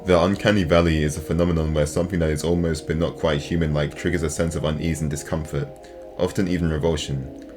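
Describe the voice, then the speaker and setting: A man's voice: a bass voice, little pitch movement, fast. A man reading scripted, analytical narration as the host of a video essay. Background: music.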